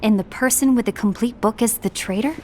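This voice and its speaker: An actress playing a composed, diplomatic young woman in a fantasy game, speaking formally and with measured politeness. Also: on the high side, dark tone, close to an everyday voice. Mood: concerned. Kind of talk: scripted game cinematic acting.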